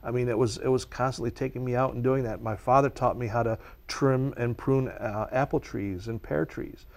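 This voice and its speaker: A man's voice: mid-range voice, fairly even intonation, moderate pace. A man whose first language is Czech, answering interview questions at length in an earnest, passionate way as a nature educator.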